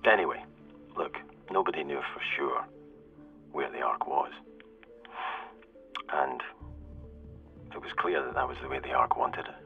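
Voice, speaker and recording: A man playing a weary, cynical security corporal in a video game, recording a halting, rueful audio diary as a survivor of a flooded world. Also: a bass voice, expressive, gravelly, phone-quality sound, faint music behind, full character voice. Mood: wry, bitter. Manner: halting, rueful, confiding, with pauses and self-corrections. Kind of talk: in-character audio diary monologue, dramatic game acting.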